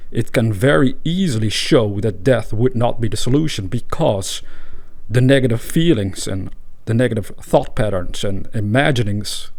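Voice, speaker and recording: A man with a Dutch accent, a native Dutch speaker, hosting a spiritual podcast in a calm, reflective monologue with pauses and fillers. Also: medium pitch. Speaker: podcast host.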